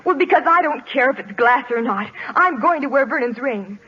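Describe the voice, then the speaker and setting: A high, emotive voice of a lovestruck young woman in 1940s radio acting. She is earnest and anxious to defend her man. The sound is dull, like an old broadcast. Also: animated, brisk, over loud music. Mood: defensive. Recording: muffled vintage radio recording.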